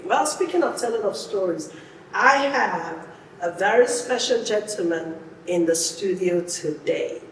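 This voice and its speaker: A woman hosting a podcast, introducing and interviewing with an emphatic delivery that repeats phrases for effect. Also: medium pitch. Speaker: podcast host. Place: indoor podcast studio. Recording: echoey, reverberant room, distant mic, faint noise.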